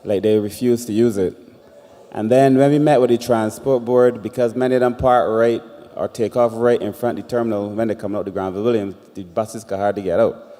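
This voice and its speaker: A man's voice: on the low side, fairly even intonation, brisk, warm tone. A man with a Barbadian accent chairing a public consultation, speaking informally but firmly. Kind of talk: informal, firm public remarks. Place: large indoor meeting hall. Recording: reverberant hall public-address sound.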